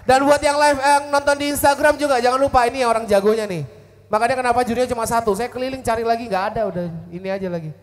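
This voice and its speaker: Man, event emcee, high voice, joking and casual, PA mic, long reverb. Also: rapid-fire. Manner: chatty, joking, relaxed slang. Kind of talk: casual live-event hosting. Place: outdoor stage at an office yard event. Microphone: handheld mic through PA.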